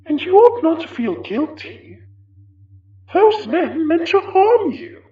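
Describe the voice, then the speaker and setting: A very high, echoing genteel spirit. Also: female voice, very animated, slow pace, dull audio, full character voice. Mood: condescending, soothing. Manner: theatrical, genteel, condescending old-fashioned phrasing. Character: possessing spirit, genteel, smug, sinister, wearing another's skin. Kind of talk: horror audio drama monologue.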